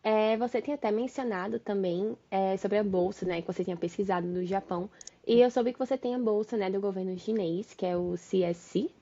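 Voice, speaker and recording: Brazilian woman, young, podcast host; mellow voice, upbeat and friendly, slightly muffled lo-fi audio. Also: medium pitch, brisk. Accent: Brazilian Portuguese. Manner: friendly, upbeat questioning with fillers. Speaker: podcast host, marketing analyst. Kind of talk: casual interview chat. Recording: dull lo-fi sound.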